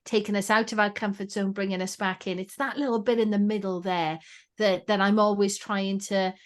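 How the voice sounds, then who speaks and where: A woman's voice: medium pitch, steady tone, moderate pace. A woman with a Welsh accent speaks as a guest on a conversational podcast. She tells her stories with energy and slips into quoting her own past thoughts aloud. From Wales. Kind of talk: casual conversation.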